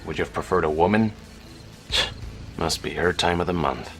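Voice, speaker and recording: An actor playing a young Delta Force sergeant in a radio drama, wry and casual in manner. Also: male voice, sits low, normal speed, a bit bright, soft background music, rough recording. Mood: wry, amused. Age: young adult.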